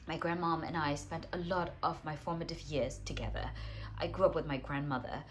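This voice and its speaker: Stuffy-nosed woman teacher, chatty and self-mocking, lower voice, faint background hiss. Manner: chatty, self-deprecating, clears throat and coughs. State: congested with a cold. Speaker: English teacher hosting a writing challenge. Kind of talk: casual to-camera talk.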